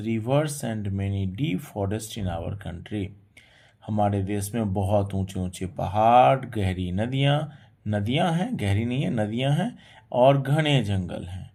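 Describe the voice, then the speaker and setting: A man teaching a children's textbook lesson, reading each English sentence slowly and explaining it word by word in Hindi. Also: lowish voice, darkish tone.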